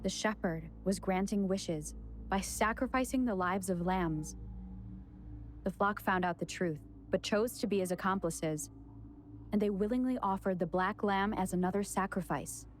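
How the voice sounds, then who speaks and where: An actress playing an earnest young protagonist in a video game, explaining in a serious way. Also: female voice, medium pitch, moderate pace, slight background noise, lightly acted. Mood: earnest, deducing.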